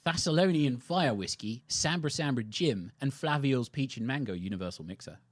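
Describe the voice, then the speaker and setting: A man's voice: medium pitch, expressive, moderate pace, no room sound. A man narrating a tabletop role-playing game as the game master, describing scenes and calling for rolls.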